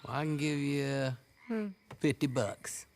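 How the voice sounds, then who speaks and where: An actor playing a folksy, haggling American dealer in stolen livestock, amused and unflappable, in a video game. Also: male voice, medium pitch, animated, unhurried, darkish tone, slight background noise. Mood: haggling, stubborn. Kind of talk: video game cutscene acting.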